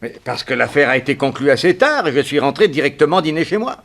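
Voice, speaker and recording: A man's voice: fairly high pitch, very animated, fast talker, gravelly, a bit bright, full character voice. An actor playing an anxious, deferential businessman in a French radio drama, polite, earnest and a little flustered.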